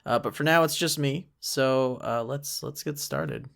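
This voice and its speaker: A man giving informal, hesitant commentary as the creator of an animated series, rambling and thinking aloud. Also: mid-range voice, measured pace, vocal fry. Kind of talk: informal behind-the-scenes commentary. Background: music and cartoon dialogue from the episode.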